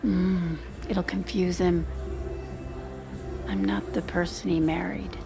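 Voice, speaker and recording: A woman's voice: lowish voice, big pitch swings, slow, gravelly, heavily acted. A woman acting in an audio drama as a reserved married mother in her thirties, confiding in a hesitant, self-deprecating way. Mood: guarded, confessing. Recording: music playing behind the voice.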